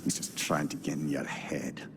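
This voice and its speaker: A man's voice: extremely low pitch, little pitch movement, normal speed. An actor playing a wary companion in a video game cutscene, speaking in a cautioning way to his brother. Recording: loud noise and clicks behind.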